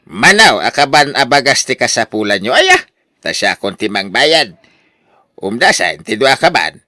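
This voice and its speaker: An actor with an Ilocano Filipino accent playing a boastful, generous older husband in a radio drama, talking in a showy, exclamatory way. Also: male voice, medium pitch, big pitch swings, moderate pace, bright, forceful tone, no room sound, clean studio sound. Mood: breezy, generous. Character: boastful, generous older husband, newly rich lottery winner.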